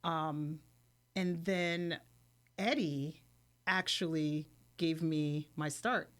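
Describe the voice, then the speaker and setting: A woman's voice: low voice, fairly even intonation, extremely slow, fairly bright tone. A middle-aged woman from New York, a podcast guest, chatting casually and recollecting her working life. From New York (Queens, Long Island). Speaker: film producer, podcast guest. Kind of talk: casual chat, personal recollection.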